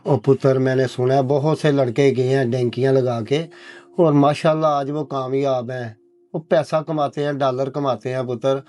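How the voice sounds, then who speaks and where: A man's voice: medium pitch, steady tone, normal speed, a little mellow, natural voice. A man playing a poor, trusting old village father, pleading and affectionate in a dramatised moral story. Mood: stubborn, coaxing. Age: older. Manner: pleading, repeatedly addresses listener affectionately.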